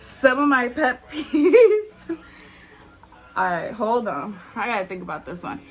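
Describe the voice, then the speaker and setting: A woman in her twenties chatting candidly as a beauty vlogger while doing her makeup, with frequent "like"s and soft laughs. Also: on the high side, very animated, a little slow, soft background music, poor audio. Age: 20s.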